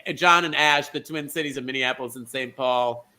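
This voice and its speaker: A man hosting an online bingo show, teasing his guests with quick, campy asides. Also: mid-range voice, bright, forceful tone.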